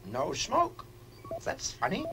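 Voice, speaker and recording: A woman's voice: on the low side, wildly swooping pitch, slow pace, vocal fry, noisy background, heavily acted. A woman voicing an eager young boy in a 1960s puppet series. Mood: eager, puzzled.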